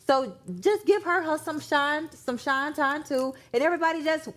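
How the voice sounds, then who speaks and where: A woman's voice: high voice, moderate pace. An American woman on a talk show panel, speaking in a casual, colloquial way.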